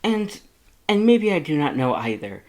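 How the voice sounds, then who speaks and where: A man voicing a loud, fussy skeleton who is the younger brother, scolding emphatically in a fan fiction audiobook reading. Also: a little high, very animated, moderate pace, voice pushed up. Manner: scolding, emphatic, rising indignation.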